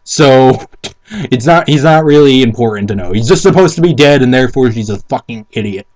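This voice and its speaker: A man's voice: mid-range voice, animated, a little fast, a little mellow. A man doing a mock dramatic reading with casual commentary, incredulous, sweary and theatrical. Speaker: amateur commentator reading fan fiction aloud.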